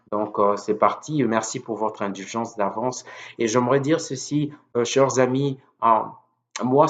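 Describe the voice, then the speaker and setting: A man who is a native Lingala speaker preaches informally on video, earnest and devout, hesitating and repeating words as he goes. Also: medium pitch. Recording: dull, lo-fi sound.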